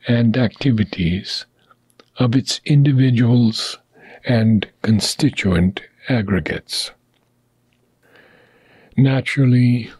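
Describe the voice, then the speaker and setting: A man reading a philosophical text aloud in a deliberate, measured way, pausing between short phrases and letting phrase ends fade into a breathy whisper. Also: medium pitch, dark tone, no room sound. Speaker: audiobook reader.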